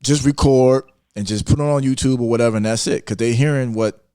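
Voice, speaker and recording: A man's voice: mid-range voice, brisk, noisy background. A man chatting casually and opinionatedly as a podcast panelist, restating his phrases for emphasis. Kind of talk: casual panel discussion about hip-hop.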